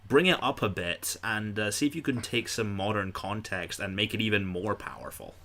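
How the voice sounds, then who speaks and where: A man's voice: on the low side, lively intonation, moderate pace, pushed and bright. A man co-hosting a podcast, talking about films in an easygoing, conversational way. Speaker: podcast co-host. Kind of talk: casual film discussion.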